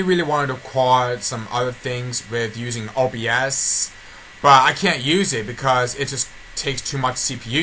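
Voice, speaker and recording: Bright-voiced man rambling over background noise. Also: medium pitch. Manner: repetitive, stumbling and restarting sentences often. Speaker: online video creator giving channel updates. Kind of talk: casual rambling update log. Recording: noticeably noisy amateur home recording.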